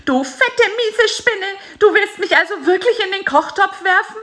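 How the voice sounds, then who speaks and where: A woman voicing a small excitable male fly with high, shrill outbursts in a storybook reading. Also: full character voice. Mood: indignant. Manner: shrill, quick, squealing outbursts.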